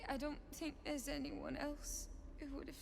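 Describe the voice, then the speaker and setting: A woman playing a grieving, self-blaming young widow in a fantasy video game, halting and anguished. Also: high-pitched, flat delivery, creaky. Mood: subdued, grieving. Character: grieving young widowed mother-to-be, desperate and bitter. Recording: reverberant game audio with faint ambient noise.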